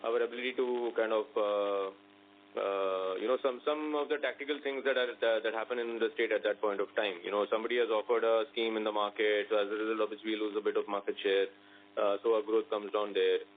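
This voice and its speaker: A man with an Indian accent answers as a company executive on an earnings call, explaining business in a halting, measured way. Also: fairly low pitch, level tone. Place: conference call. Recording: narrow phone line with faint noise and hum.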